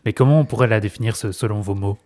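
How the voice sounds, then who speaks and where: A male radio host leads the interview in a brisk, assured manner. His voice is low, dark and a little husky, and he speaks quickly. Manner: brisk, fluent presenter.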